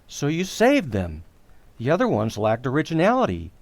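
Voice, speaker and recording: A well-meaning suburban dad from a radio sitcom, played by a man. His highish voice swings up and down as he hesitates, fusses and offers folksy opinions. The sound is close and dry. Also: wildly swooping pitch, normal speed, no echo. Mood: mildly defensive. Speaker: actor in community radio theater. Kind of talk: old-time radio sitcom acting.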